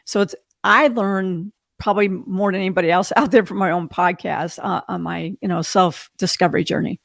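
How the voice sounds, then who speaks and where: Candid woman podcaster with creaky voice. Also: lowish voice, steady tone, moderate pace, dry recording, studio quality. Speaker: podcast host. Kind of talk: casual reflective interview talk.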